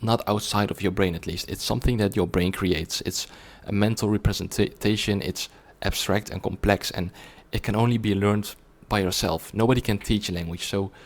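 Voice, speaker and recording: Low-voiced man explaining in earnest. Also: steady tone, moderate pace, few pauses. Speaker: language learning video presenter. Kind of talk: explanatory monologue to viewers.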